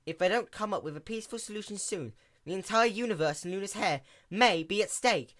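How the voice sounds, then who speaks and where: A man's voice: high voice, fairly quick, fairly bright tone, voice pushed up. A man voicing an earnest, dutiful female sea pony attendant who explains things formally.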